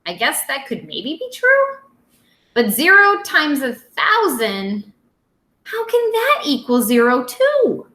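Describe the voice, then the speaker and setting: A woman reads aloud to children in a roomy, echoey space. Her voice is bright and very animated, rising and dipping with playful drama. She sounds like a schoolteacher performing for her young students. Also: high-pitched, slow pace. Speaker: teacher reading aloud to students. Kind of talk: expressive children's read-aloud.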